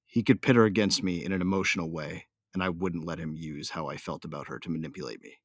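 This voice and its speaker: A man's voice: deep voice, fast talker, vocal fry, dry recording, clean studio sound. An actor playing a brooding, hot-tempered male bounty hunter with a tough, slangy manner, narrating an audio drama in the first person.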